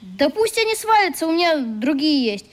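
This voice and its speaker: A woman's voice: high voice, very animated, moderate pace. A woman playing an earnest, conscientious schoolboy in a radio play, plain-spoken and childlike. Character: earnest, conscientious schoolboy travelling with his dog.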